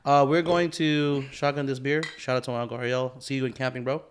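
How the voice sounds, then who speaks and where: A man's voice: medium pitch, little pitch movement, a little fast. A man hosting a podcast in casual, profane, joking chat, full of slangy bro talk. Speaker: podcast host.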